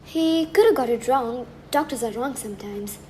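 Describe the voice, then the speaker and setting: A teenage girl plays an innocent, kind factory girl in a radio play. She sounds high and earnest, with faint noise in the background. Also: lively intonation, moderate pace, dark tone, lightly acted, low-quality recording. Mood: worried, defensive. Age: teenage high school student. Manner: earnest, questioning. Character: naive, kind-hearted young 1920s factory girl. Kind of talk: radio drama acting.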